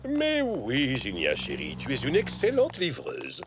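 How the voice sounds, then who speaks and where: A man voicing a gentle, indulgent zebra father in a French children's cartoon, with music behind. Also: medium pitch, wildly swooping pitch, unhurried.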